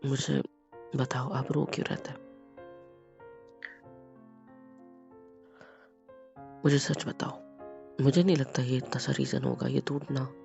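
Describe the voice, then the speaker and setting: Male voice: young husband, quick-tempered but gentle, low hushed gravelly delivery, lo-fi audio-drama feel. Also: faint music behind. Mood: tender.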